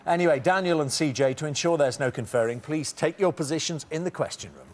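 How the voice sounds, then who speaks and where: A middle-aged man hosting a TV quiz in a studio, reading questions briskly and teasing the contestants. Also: a little high. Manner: brisk, teasing, reads multiple-choice questions. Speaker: quiz show host.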